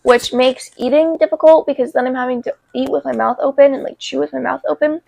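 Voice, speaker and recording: A young woman vlogging casually about her life, congested with a cold, talking in an informal, halting way. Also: high voice, fairly even intonation, vocal fry, darkish tone, no echo. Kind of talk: casual chat to camera.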